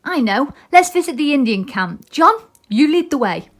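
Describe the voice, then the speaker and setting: A woman's voice: sits high, very animated, moderate pace, voice pushed up. A woman voicing a bold, confident boy hero in a children's storybook. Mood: cheerful. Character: bold, cocky boy hero who never grows up.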